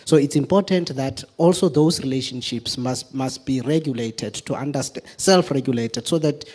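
A man's voice: mid-range voice, normal speed, a little mellow. A man giving an impromptu answer at a public discussion, hesitant, often restarting and searching for words. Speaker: forum participant.

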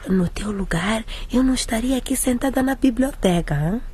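A woman's voice: a little high, animated, normal speed, warm tone, slightly rough. A woman playing a bold, curious schoolgirl confidante in a radio drama, teasing and gossipy. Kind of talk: scripted radio drama acting.

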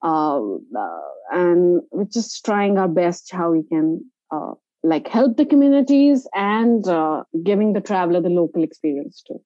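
A woman with an Indian accent giving explanatory, halting conversational answers as an interview guest. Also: lowish voice, animated, warm tone, no room sound, muffled recording. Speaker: interview guest, inclusive travel organiser.

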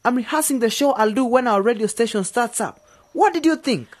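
Cocky, animated young DJ guy, played by an actor. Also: mid-range voice, a little fast, lightly acted. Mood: excited, proud. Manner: showy, slangy, animated. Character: cocky, excitable young village man rehearsing as radio DJ. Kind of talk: radio drama dialogue with hyped DJ patter.

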